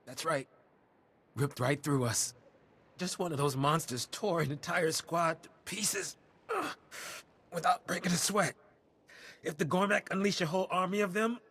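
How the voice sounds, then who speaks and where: A battered soldier in a video game. His voice is husky and a little high, and he talks in an earnest, halting, weary way, like someone giving a field report. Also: sharp tone, exaggerated voice. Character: weary wounded male soldier, grateful and earnest. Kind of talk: video game cutscene acting.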